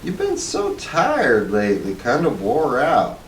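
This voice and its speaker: A man voicing a simple, sweet, chatty middle-aged wife with folksy, drawn-out phrasing in a storybook reading. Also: medium pitch, huge pitch swings, pitched above the performer's own voice. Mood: coaxing, concerned. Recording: roomy, distant mic with faint noise.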